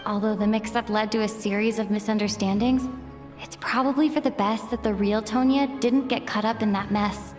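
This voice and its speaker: A woman voicing a composed, dryly teasing young traveler in a game cutscene, measured and wry. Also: mid-range voice, steady tone, over music, lightly acted. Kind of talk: animated game cutscene acting.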